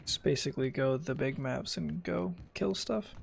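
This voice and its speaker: A man doing casual, offhand game-streaming commentary with a sarcastic streak and a gravelly voice. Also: a little high, moderate pace. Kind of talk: casual gaming commentary and chat. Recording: game music behind the voice.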